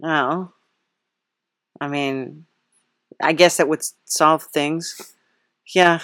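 A woman's voice: sits low, fairly even intonation, drawn-out words, a bit bright, no echo, clean studio sound. A woman hosting a podcast interview in a casual, playful, rambling way, often hesitant and giggly.

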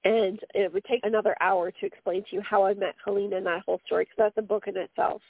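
A woman's voice: mid-range voice, fairly even intonation, fast talker, husky, no room sound. A woman with a Canadian accent, co-hosting a radio show as a medium and speaking in an earnest, meandering way with frequent fillers. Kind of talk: casual radio talk. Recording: dry tinny phone line.

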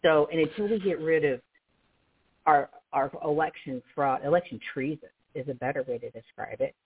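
A woman guest on a radio talk show, excitable and emphatic, often restarting her sentences. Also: sits low, normal speed, husky. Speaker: guest activist. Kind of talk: casual political talk. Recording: phone-like narrow band.

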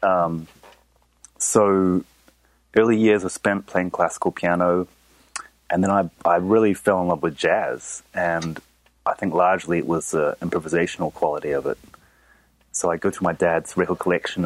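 New zealand guy, musician guest, really deep voice, chill and chatty, dry sound. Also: fairly even intonation, moderate pace, no echo, low-quality recording. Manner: easygoing, laughs often. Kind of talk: casual interview.

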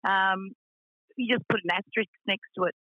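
A woman with a deep voice who owns a racing team, talking frankly with lots of fillers in a radio interview. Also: moderate pace, no room sound, muffled recording. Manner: frank, chatty, many fillers.

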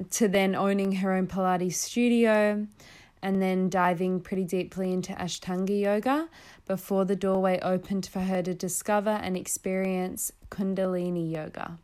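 A woman's voice: mid-range voice, darkish tone, clean tone. A woman hosting a podcast, giving a casual introduction in an earnest, effusive way. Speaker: podcast host.

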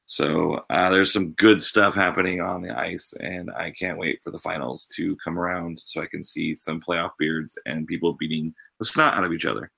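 A man who teaches, co-hosting a casual podcast in an easygoing, halting way. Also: sits low, steady tone, vocal fry, pushed and bright, husky.

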